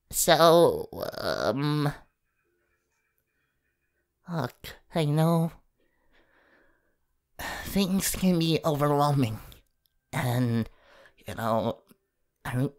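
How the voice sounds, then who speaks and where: A woman voicing a gentle, awkward, encouraging young male superhero in an ASMR comfort role-play, speaking haltingly and at times in a whisper. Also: low voice, clean tone, full character voice. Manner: hesitant, halting, partly whispered.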